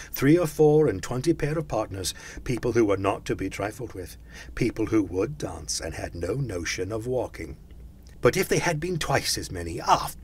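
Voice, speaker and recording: A man reading a classic story aloud with animated, expressive narration, stretching words for emphasis. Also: medium pitch, husky. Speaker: audiobook reader.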